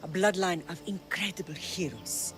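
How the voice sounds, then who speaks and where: A woman playing a protective, battle-hardened Greek warrior mother in a video game, speaking with measured, earnest weight. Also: lowish voice, music in the background, lightly acted, rough recording. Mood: solemn, tender. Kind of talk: dramatic video game acting.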